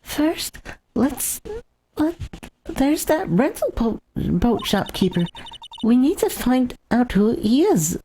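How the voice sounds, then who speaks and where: A woman voicing an excitable, caring young female legal assistant in a video game, emotive and slightly stumbling. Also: a little high, slow pace, slight background noise, full character voice, poor audio. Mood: curious. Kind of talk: reading visual novel game dialogue aloud. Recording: faint music behind the voice.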